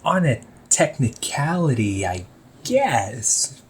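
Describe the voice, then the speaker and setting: A relaxed older brother who runs a hobby shop, chatting and ribbing his sibling in an audio roleplay, acted by a man. He takes his time with each line, but his voice swings up and down in a lively, playful way. Small laughs keep slipping into his talk. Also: mid-range voice, slow. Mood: casual. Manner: chatty, teasing, laughs often. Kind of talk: one-sided audio roleplay to the listener.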